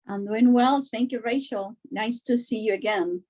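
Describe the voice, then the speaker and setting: Middle-aged Cuban-born woman, halting conversational delivery. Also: on the high side, dark tone, low-quality recording. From Cuba, later Florida. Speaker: doctor, interview guest. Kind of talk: conversational personal account.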